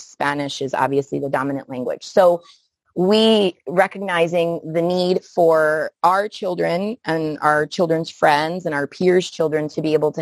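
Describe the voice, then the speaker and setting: A woman's voice: deep voice, dry recording. A middle-aged woman from upstate New York, answering interview questions thoughtfully and searching for words as she talks. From Buffalo, New York, United States. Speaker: podcast guest, outdoor play educator.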